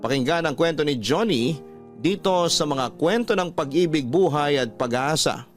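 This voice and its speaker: A man's voice: fairly high pitch, expressive, normal speed. A Filipino man with a scripted, dramatic storytelling delivery, hosting and reading a listener's letter as narrator. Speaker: radio drama host and narrator. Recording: dry studio voice over background music. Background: music.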